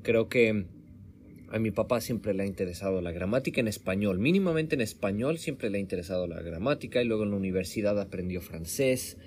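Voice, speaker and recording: A man with a fairly low voice talks in a relaxed, conversational way, often correcting himself and restarting his sentences. Faint background noise sits under his voice. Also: a little fast. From Mexico. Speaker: interviewer. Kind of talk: casual conversation. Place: home room in Sweden.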